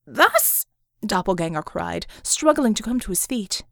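Expressive woman audiobook narrator, dry sound. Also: medium pitch, normal speed, gravelly, no echo. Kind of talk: dramatic scripted narration of action fiction.

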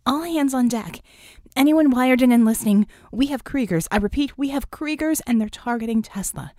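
Urgent female dispatcher for a superhero team acted by a reader, clipped commands, raised pitch, dry recording. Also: lively intonation, normal speed, mellow, dry recording, lightly acted. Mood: urgent alarm.

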